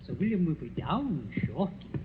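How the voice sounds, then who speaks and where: An actor playing a formal, deferential, obsequious manservant in a 1940s radio mystery. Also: male voice, a little high, big pitch swings, normal speed. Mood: servile, courteous. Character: Lane, deferential manservant with chatty politeness. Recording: muffled, hissy vintage 1940s radio recording.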